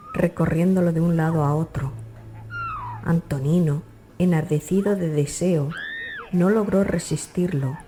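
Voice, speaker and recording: Female audiobook narrator, deep and mellow, scripted literary reading over faint music.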